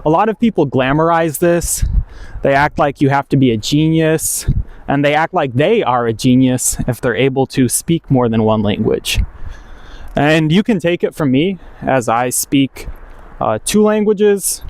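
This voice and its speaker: Casual man with a slightly high voice. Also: measured pace. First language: English. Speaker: language-learning video creator. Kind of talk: casual monologue to viewers.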